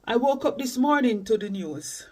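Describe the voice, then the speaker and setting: High-voiced man speaking from afar. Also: animated, a little mellow, distant mic, soft background music. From Caribbean. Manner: frank, earnest, measured. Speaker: reaction channel host. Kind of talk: reaction commentary.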